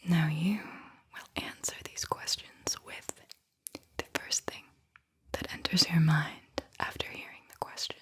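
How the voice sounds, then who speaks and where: A woman whispering a guided visualization in an ASMR style, with a breathy, airy voice and a soothing, deliberate delivery. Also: low-pitched, steady tone. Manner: slow, soothing, deliberate delivery with repeated phrases. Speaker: host, guided visualization narrator.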